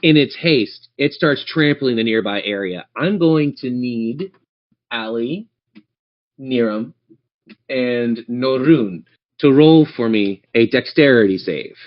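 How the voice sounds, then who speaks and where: Guy running a tabletop rpg, encouraging with players, medium pitch, kinda muffled recording. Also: slow pace. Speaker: game master. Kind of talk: narrating and refereeing a tabletop game.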